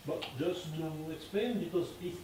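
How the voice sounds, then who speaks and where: A man with a French accent answers questions after a screening, pausing and searching for his English words. His voice sits somewhat high for a man. It is picked up from a distance in a strongly reverberant cinema hall with faint noise. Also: mellow. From France. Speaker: film director at a Q&A. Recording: echoey cinema hall from far off.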